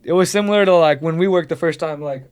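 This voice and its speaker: A man hosting a casual podcast, talking in relaxed slang full of "like" and "bro". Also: on the high side, fast, clean tone. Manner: laid-back slang, many fillers like "like" and "bro". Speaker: studio owner podcast host.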